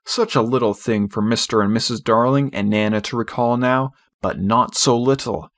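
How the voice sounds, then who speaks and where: Man narrating a storybook, mid-pitched. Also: normal speed. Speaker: audiobook narrator.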